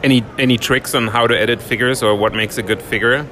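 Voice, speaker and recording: A man's voice: mid-range voice, fairly even intonation, fast, noisy background. A man hosting a podcast, asking short, upbeat interview questions. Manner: brief, upbeat prompts. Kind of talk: casual interview.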